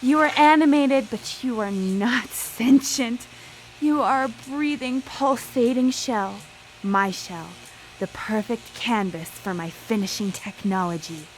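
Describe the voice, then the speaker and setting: A woman's voice: fairly high pitch, slow, a little hiss or hum, rough recording. An actress playing an obsessive, ruthless female scientist in a theatrical, formal manner for a live radio drama. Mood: triumphant. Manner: grandiose, theatrical, formal speech.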